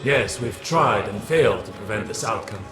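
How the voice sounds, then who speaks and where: A man playing a solemn mystic warlock in a video game, speaking in grave, portentous, measured pronouncements with an echo over music. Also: lowish voice, expressive, close to an everyday voice. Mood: somber. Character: solemn male mystic warlock, a copy of an exiled prophet. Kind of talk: dramatic video game cutscene acting. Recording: echoing voice under music.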